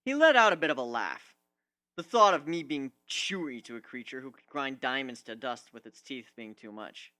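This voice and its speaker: Animated male story narrator with bright voice. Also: medium pitch, normal speed, no room sound. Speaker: amateur narrator. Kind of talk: dramatic first-person reading of fan fiction.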